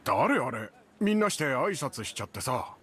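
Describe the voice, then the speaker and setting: An actor playing a brash, curious younger hunter with a casual delivery, in a game cutscene style. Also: male voice, mid-range voice, sing-song, moderate pace, noisy background, natural voice. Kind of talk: Japanese game cutscene voice acting. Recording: music behind the voice.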